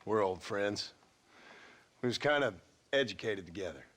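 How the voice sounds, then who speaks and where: An actor playing a weary, folksy former outlaw in a video game, with a rural American Western accent and a restrained manner. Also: male voice, fairly low pitch, expressive, normal speed, a bit bright. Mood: guarded, casual. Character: weary, plain-spoken former outlaw turned farmer, polite but grim. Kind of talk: video game character acting.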